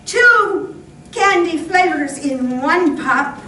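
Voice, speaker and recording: An earnest little kid played by a woman reciting a vintage radio ad in a very high, bright voice. The sound is roomy and far from the mic. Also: lively intonation, takes it slowly, exaggerated voice. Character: earnest little child in a radio candy commercial. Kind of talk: old-time radio commercial acting. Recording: roomy, distant stage recording, faint noise.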